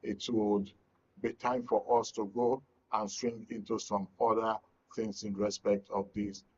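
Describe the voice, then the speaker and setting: A man's voice: fairly low pitch, level tone, unhurried, a little mellow, husky, dull audio. A man with a Nigerian accent hosting a live current affairs programme. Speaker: programme host.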